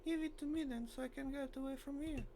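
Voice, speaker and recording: Guy doing a high girly voice, feisty young woman, game character, bit of noise. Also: steady tone, quick pace, warm tone. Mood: curt, cold.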